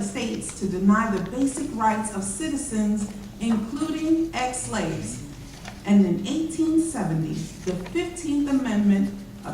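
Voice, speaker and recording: A woman reading a historical narration aloud in a formal, measured way on stage. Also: on the high side, a little mellow. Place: church hall stage, recorded from afar. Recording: distant, very echoey hall, faint noise.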